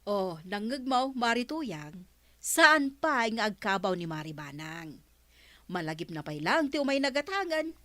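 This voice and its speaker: A teasing storekeeper in an Ilocano village drama. She speaks with an Ilocano accent in a mid-pitched, bright voice. The recording is dry and close. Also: big pitch swings, unhurried, dry recording. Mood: teasing, amused. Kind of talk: Ilocano audio drama acting.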